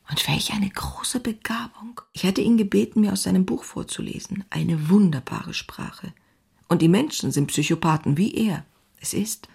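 An actress playing a passionate, restless young woman writer who confides her diary in an intimate, confessional, often breathy way. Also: fairly low pitch, a little mellow, exaggerated voice. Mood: ecstatic, anguished. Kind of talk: dramatic diary reading.

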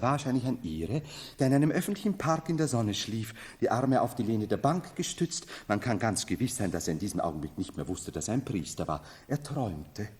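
An actor in a 1970s German radio drama playing a bitter, mocking nobleman who feigns madness as a medieval emperor, declaiming theatrically between hush and outcry. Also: lowish voice. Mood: quiet, reflective. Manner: declamatory, swinging from hushed to exclaiming. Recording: reverberant hall.

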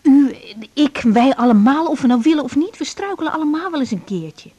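A woman's voice: high voice, expressive, brisk, mellow. An actress playing a troubled young woman doctor, anxious yet affectionate, in an intimate, naturalistic 1980s radio drama. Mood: concerned, reassuring. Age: young adult. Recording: 1980s radio drama, faint background noise.

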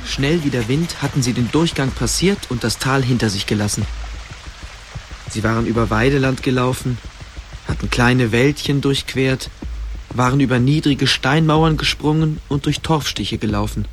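A man's voice: mid-range voice, moderate pace, a little hiss or hum. Male narrator telling a dramatic story.

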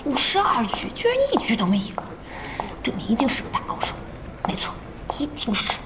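A woman playing a feisty, excitable young internet cafe gamer in a Mandarin audio drama. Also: fairly high pitch, brisk, roomy sound, in a whisper, big-room sound. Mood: frustrated. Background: background music.

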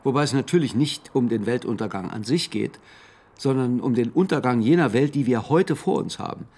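An actor playing a sober, philosophical man in a radio drama, speaking in a composed, deliberate, lecturing way. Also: medium pitch, darkish tone, close to an everyday voice. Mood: grave, explanatory. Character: sober, philosophical male friend, calmly explaining grim cosmic laws. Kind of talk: German radio drama dialogue.

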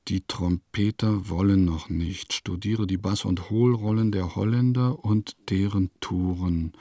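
A man's voice: low voice, dark tone, no echo, studio quality. An actor playing an eccentric composer who muses in clipped notes and declaims his verses in a radio play.

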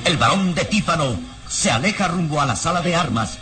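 High-voiced male radio serial narrator over music. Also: lively intonation, a little fast. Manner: solemn, suspenseful, declamatory. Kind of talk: dramatic scripted narration of an old radio serial. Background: dramatic music.

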